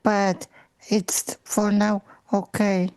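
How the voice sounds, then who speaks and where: Slow woman with a Polish accent. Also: medium pitch, flat delivery, a little mellow, clean tone, no echo. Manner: slow, careful, simple phrasing. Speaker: player.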